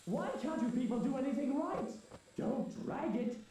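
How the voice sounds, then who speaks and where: An actress playing a haughty, bad-tempered overseer scolding workers in a visual novel game, with a snapping, scornful manner. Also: female voice, high voice, normal speed, mellow, natural voice. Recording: distant, very echoey room, faint noise.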